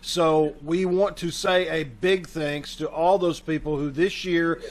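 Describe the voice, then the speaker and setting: A man with a voice a little higher than most hosts for a community theater troupe. He speaks informally and addresses the audience directly, in a casual welcome over a video call. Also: steady tone, unhurried.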